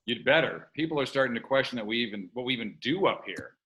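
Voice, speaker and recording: A worried, grumbling boss god voiced by a man in a comedy table read. The voice has a medium male pitch, a sharp edge and lively swings. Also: quick pace, low-quality recording.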